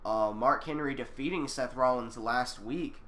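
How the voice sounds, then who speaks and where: A young man doing play-by-play commentary on a video game, excitable and bursting into shouts on big moves, his voice going hoarse and cracking into coughs. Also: mid-range voice, unhurried. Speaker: host commentating a simulated game.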